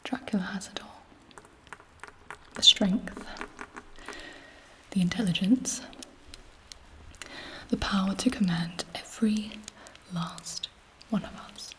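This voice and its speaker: A woman voicing an imperious, scheming vampire queen in a breathy whisper, haughty and seductive, as an ASMR roleplay. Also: medium pitch, sharp tone. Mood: haughty, bitter. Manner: slow, haughty, seductive, measured pauses.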